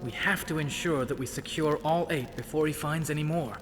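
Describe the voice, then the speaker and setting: A man voices a cautioning game character, fairly high in pitch. He speaks in measured, articulate phrases with long reverb and music behind. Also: close to an everyday voice. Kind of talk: video game character acting.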